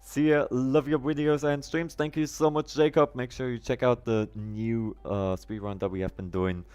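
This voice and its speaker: A man's voice: mid-range voice, steady tone, moderate pace, darkish tone, clear, resonant tone, faint music behind. A man whose first language is German, live-streaming a game with casual commentary and chatting to viewers in wordy, oddly formal phrases.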